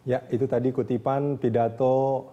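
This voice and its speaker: A man's voice: mid-range voice, level tone, moderate pace, mellow, highs cut off. A man hosting a TV news dialogue, prompting his guests with questions and frequent agreeing hums. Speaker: news anchor and moderator. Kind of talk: TV talk show moderation. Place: TV news studio. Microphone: lapel.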